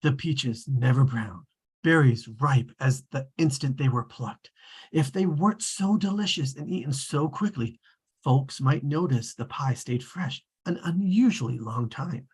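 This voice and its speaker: A very husky narrator read by a performer at a table read tells a storybook tale. The delivery is measured and formal, with dry precision and an omniscient air. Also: medium pitch, lively intonation, a little mellow. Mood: measured, wry.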